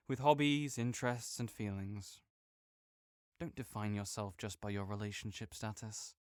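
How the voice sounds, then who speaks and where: A man's voice: medium pitch, fairly bright tone, smooth, no echo, clean studio sound. Playful, reassuring young man. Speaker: audio roleplay performer as a friend. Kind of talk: audio roleplay, comforting friend.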